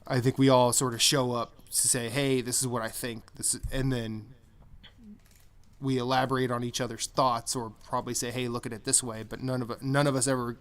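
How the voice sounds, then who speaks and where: A man's voice: mid-range voice, little pitch movement, brisk, fairly bright tone. A man co-hosting a casual gaming podcast, rambling through his points with frequent restarts and laughter. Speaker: podcast co-host. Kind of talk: casual chat.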